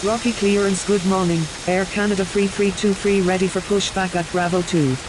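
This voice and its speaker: A performer voicing a calm, businesslike airline pilot reading back clipped radio phraseology in an air traffic control game. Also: mid-range voice, moderate pace, natural voice. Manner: formulaic, procedural read-backs.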